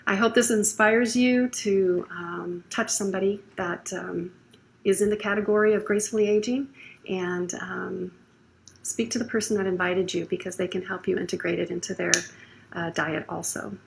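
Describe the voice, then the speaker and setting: A woman sharing a personal story about caring for an aging relative, encouraging and warm, with frequent ums. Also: mid-range voice, fairly even intonation, moderate pace, vocal fry, poor audio. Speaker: testimonial speaker. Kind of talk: personal testimonial.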